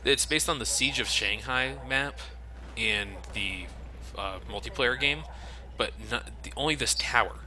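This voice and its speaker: A man's voice: medium pitch, a little slow, sharp tone. A man hosting a let's play with casual, sardonic, sweary game commentary.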